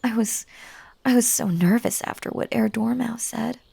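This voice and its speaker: A woman playing a clingy, unhinged magical bunny woman in a roleplay audio drama, coaxing and gushing, breathy and dropping into whispers, with stammers and stern turns. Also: a little high, normal speed, dark tone, no echo, heavily acted. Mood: giddy, nervous.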